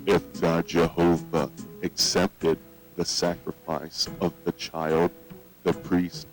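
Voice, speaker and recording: Man playing a very deep, solemn old grandfather. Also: flat delivery, soft background music, poor audio. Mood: solemn, explanatory. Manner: solemn, deliberate, sermonizing. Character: solemn old grandfather, cult patriarch preaching child sacrifice. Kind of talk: slow scripture storytelling in a children's horror tape.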